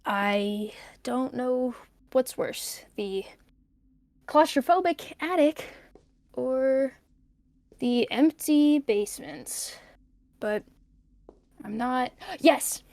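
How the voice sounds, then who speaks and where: A high-voiced, nervy young loner played by a woman talks to themself in an audio drama. The delivery is very slow and halting, with wry muttered jokes. The sound is close and dry. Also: darkish tone, dry recording, full character voice. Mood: uneasy, focused. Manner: wry, halting, self-talking. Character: nervy, joking young loner recording a tape while fixing power.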